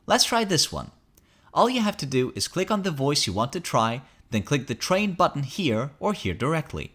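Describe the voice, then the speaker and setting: A man reading a scripted promotional software tutorial in an upbeat, instructional manner. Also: fairly high pitch, very animated, normal speed, breathy edge. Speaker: tutorial narrator.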